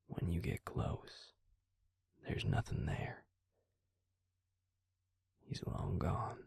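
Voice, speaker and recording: A man playing a calm, protective vampire in an intimate audio roleplay, speaking gently and measuredly in a breathy, gravelly near-whisper. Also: deep voice, no room sound, clean studio sound, heavily acted. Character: calm, protective male vampire explaining lore to a werewolf. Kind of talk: intimate audio roleplay, slow hushed delivery.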